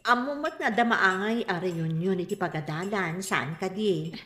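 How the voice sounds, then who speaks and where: An actress playing an eager, bickering wife out to borrow finery, with a Filipino Ilocano accent, in a radio comedy-drama. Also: female voice, mid-range voice, moderate pace, a bit bright, reverberant, lightly acted. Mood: hesitant, pleading.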